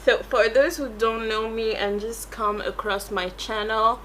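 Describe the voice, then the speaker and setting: A woman's voice: on the high side, fairly even intonation, a little slow, fairly bright tone, a little hiss or hum, low-quality recording. A French-accented woman, a native French speaker, chatting casually through a makeup tutorial and rambling as she searches for English words. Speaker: beauty YouTuber.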